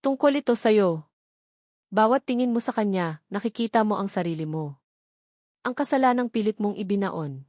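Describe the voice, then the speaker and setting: A woman voicing a wealthy adult son in an audio drama, speaking calmly and earnestly, with remorseful pleading. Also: medium pitch, normal speed, long pauses, no room sound, studio quality, natural voice. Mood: calm, compassionate. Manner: calm, earnest, weighty delivery.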